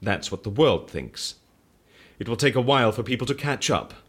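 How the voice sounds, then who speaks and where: An actor playing a quirky male case handler at a disappearance agency in an audio drama, husky, with quaint exclamations and dry businesslike asides. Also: medium pitch, sing-song, moderate pace, fairly bright tone. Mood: curious, businesslike.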